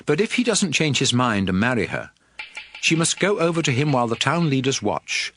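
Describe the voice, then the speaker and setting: A man narrating scripture in a measured, authoritative manner for a dramatized audio production. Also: medium pitch, very animated, fairly bright tone, slight background noise. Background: music.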